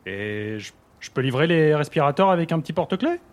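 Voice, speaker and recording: An actor playing a meek, grasping company boss who haggles haltingly and deferentially in a satirical audio drama with background noise. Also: male voice, on the high side, animated. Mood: hesitant, haggling. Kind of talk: satirical audio fiction acting.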